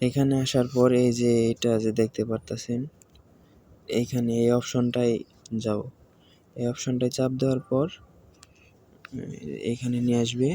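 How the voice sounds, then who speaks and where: Flat-voiced Bangladeshi man explaining step by step. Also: mid-range voice, moderate pace, long pauses. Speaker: tutorial presenter. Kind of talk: informal screen-recording tutorial narration. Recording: home recording with faint background noise.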